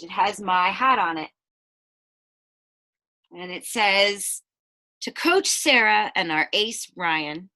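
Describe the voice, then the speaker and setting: A woman teacher reads a bedtime picture book to young children in a bright, mid-pitched voice. Her delivery is expressive and aimed at children. She moves between reading aloud and chatty asides that explain things or put questions to her listeners.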